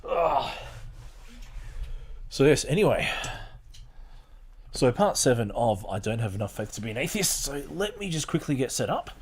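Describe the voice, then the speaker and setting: A man's voice: mid-range voice, sing-song, moderate pace, long pauses, breathy edge. A middle-aged man hosting a podcast, chatting casually and reading aloud from a book. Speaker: podcast host.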